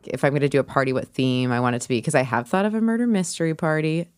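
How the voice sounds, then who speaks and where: A woman's voice: sits low, very fast, darkish tone, smooth, no echo. A woman podcast guest in casual chat, with a jokey, self-deprecating way of talking.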